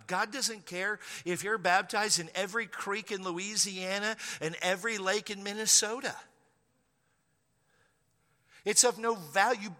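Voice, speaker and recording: High, sharp-voiced preacher in a reverberant hall. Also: male voice. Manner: preaching with emphatic repetition. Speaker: pastor. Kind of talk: sermon. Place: church sanctuary.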